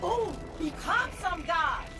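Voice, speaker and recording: A thrill-seeking adventurer in a fantasy video game. The voice is very high, lively and brash, bursting with eagerness. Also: female voice, takes it slowly, over music, full character voice. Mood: eager.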